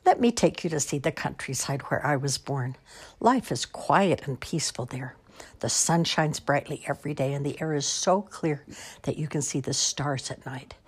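A woman's voice: deep voice, normal speed, breathy edge. A woman voicing a small male town mouse in a storybook, eager and chatty. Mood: dreamy.

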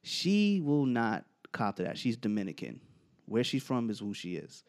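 A man's voice: mid-range voice, big pitch swings, moderate pace. A man hosting a podcast, talking in a laid-back, rambling way full of restarts. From United States. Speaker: podcast host. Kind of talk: casual debate chat.

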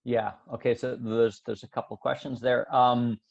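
A man who grew up in Canada, a sports scientist guest on a podcast, explaining his work in a relaxed way full of fillers and restarts. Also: medium pitch, moderate pace, vocal fry, highs cut off. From Switzerland, raised in Canada. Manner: relaxed, thinking aloud with many fillers and restarts. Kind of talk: casual interview explaining science.

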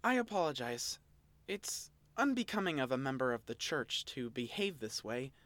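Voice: medium pitch, very animated, normal speed, fairly bright tone, dry recording. A reader voicing an angry, boyish male otter creature who snarls and speaks with stiff formality.

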